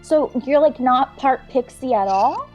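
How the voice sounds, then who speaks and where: A woman playing a tabletop role-playing game, talking casually and improvising in a halting, rambling way. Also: sits high, animated, darkish tone, dull audio, faint music behind.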